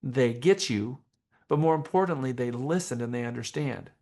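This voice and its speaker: A man teaching a professional class as a trainer, speaking in a deliberate, emphatic way with rhetorical questions. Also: medium pitch, dark tone. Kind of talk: motivational training seminar talk.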